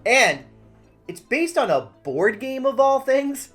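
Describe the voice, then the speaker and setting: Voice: high voice, sing-song, slow pace, fairly bright tone, breathy edge, soft background music. A video essay host delivering scripted narration in a wry way with jokey asides.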